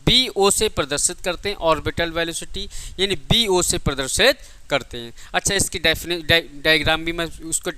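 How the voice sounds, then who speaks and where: A man's voice: on the high side, moderate pace, few pauses, pushed and bright, dry recording, rough recording. A man giving a physics coaching lecture, repeating key points and asking questions he answers himself. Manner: addresses students affectionately as child. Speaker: physics teacher. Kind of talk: exam coaching lecture, working through derivations.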